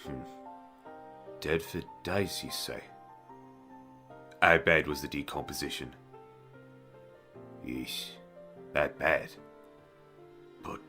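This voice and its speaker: Guy acting as stuffy fantasy mayor, super low bright forceful voice, condescending and formal, music under it. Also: slow pace, pauses often, exaggerated voice. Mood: incredulous. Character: haughty, gruff male mayor of a fantasy village. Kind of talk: fantasy audio roleplay acting.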